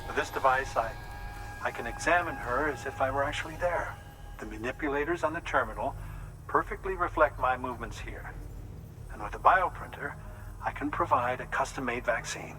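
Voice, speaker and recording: An actor playing a calm, precise doctor who explains medical procedures and reassures patients in a game cutscene. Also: male voice, mid-range voice, a little hiss or hum, close to an everyday voice, poor audio. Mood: reassuring. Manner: measured, explanatory, slightly fussy. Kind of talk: video game cutscene acting. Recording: score music in the background.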